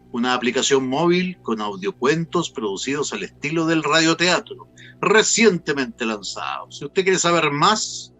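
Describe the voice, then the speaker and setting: A man's voice: mid-range voice, fairly bright tone. A man with a Chilean accent hosting a conversational online radio interview in a playful manner. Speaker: host and interviewer.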